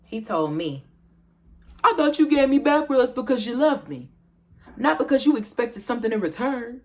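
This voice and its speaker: A woman with an American accent performing an aggrieved, self-justifying wife in a dark comic stage monologue, confiding and dramatic. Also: low voice, animated, a little slow. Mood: aggrieved, emphatic.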